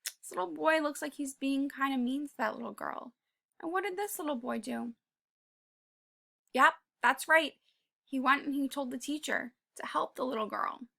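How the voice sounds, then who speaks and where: A woman reading a children's picture book aloud, pausing to talk to young listeners in an encouraging way and sometimes dropping to a whisper. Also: high-pitched, vocal fry. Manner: drops to a whisper at quiet moments. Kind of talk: read-aloud with commentary for kids.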